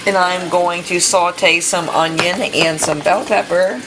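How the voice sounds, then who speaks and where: A woman's voice: on the low side, fairly even intonation, takes it slowly. A woman in her thirties chatting casually while she cooks in her home kitchen, talking to herself as she works, recorded on a camera mic. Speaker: cooking vlogger. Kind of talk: casual cooking vlog narration. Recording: home kitchen with running water and noise. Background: kitchen sounds, running tap.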